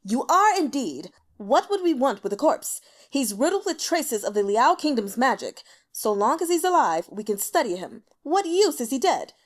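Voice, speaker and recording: A woman's voice: high voice, sing-song, normal speed, few pauses, voice pushed up. A woman voicing a young male emperor who is irritable and flippant, with a bored, scornful, imperious manner. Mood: scornful, irate.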